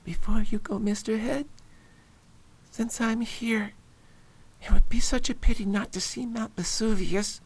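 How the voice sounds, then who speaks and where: Woman doing a scared little rich boy, high and pleading, radio play. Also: steady tone, a little slow, husky. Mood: hopeful. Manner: anxious, pleading, whispering at times. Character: frightened sickly young English lord, a boy. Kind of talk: amateur radio drama acting.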